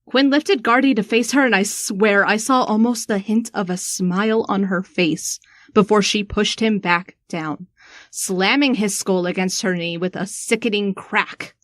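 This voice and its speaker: A woman playing a shaken, sharp-tongued young woman in a first-person audio drama. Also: mid-range voice, measured pace, no room sound, clean studio sound, natural voice. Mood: tense narration.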